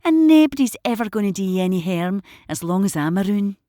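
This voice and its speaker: A woman's voice: mid-range voice, very animated, fairly quick, warm tone, no room sound, clean studio sound, lightly acted. An actress playing a blunt but tender, protective older mother figure with a Glaswegian accent in an audio drama. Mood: soothing, protective. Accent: Glaswegian Scottish.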